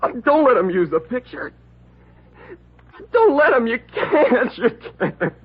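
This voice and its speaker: A man's voice: very high-pitched, big pitch swings, a little slow, breathy edge, full character voice. A young man with an American accent playing a frightened, pleading car thief, whining and desperate, in a 1950s radio drama. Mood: panicked protest. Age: young adult. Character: frightened, pleading twenty-year-old male car thief. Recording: dull, lo-fi old radio recording.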